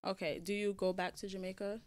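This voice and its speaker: A woman's voice: mid-range voice, flat delivery, a little fast. A woman hosting a podcast, asking questions in casual chat with short acknowledgments and light teasing. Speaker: podcast host.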